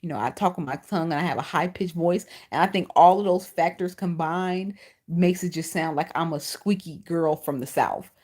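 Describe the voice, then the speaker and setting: A woman's voice: on the low side, normal speed. A woman with a Chicago accent and a lisp, chatting bluntly and profanely on a livestream as its host. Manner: blunt, profane and animated, answering chat. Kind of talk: casual livestream chat.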